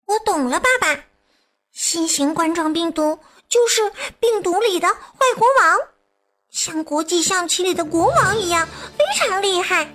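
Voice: very high voice, unhurried, pushed and bright, exaggerated voice. A performer playing an earnest, sweet, curious young child in a children's radio drama.

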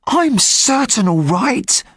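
A man's voice: very high voice, very animated, breathy edge, dry recording, clean studio sound, pitched above the performer's own voice, heavily acted. A man reading aloud and voicing a cheeky, streetwise young boy in a raised, eager voice. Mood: urgent, secretive. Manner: eager, quick, loud whispers.

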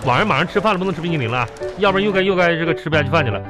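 A man's voice: on the high side, expressive, rapid-fire, music in the background, close to an everyday voice. A man playing an exasperated father in a radio comedy, with a Northeastern Chinese accent and sputtering, repetitive, scolding talk. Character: exasperated, blustering father of a little girl. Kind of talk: live comedy radio drama acting.

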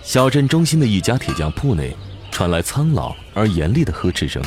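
A man narrating fantasy scenes in an audio drama as a measured, literary storyteller. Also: lowish voice, sing-song. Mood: evocative. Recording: music under voice.

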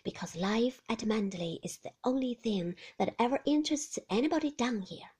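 A woman reading an audiobook voices a moody, brooding older husband in a slow, hushed half-whisper. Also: fairly high pitch, expressive, breathy edge. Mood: dismissive.

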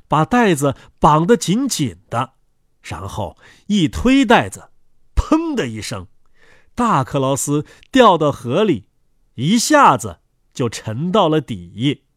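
A man telling a children's story in an expressive, animated way, as if addressing a young listener. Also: on the high side, slow, no room sound, studio quality. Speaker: storyteller and host.

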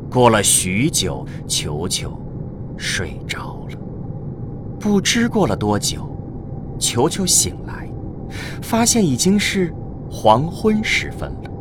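A man narrating a scripted radio drama as a storyteller. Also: lowish voice. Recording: clearly noisy with ambient vehicle sound.

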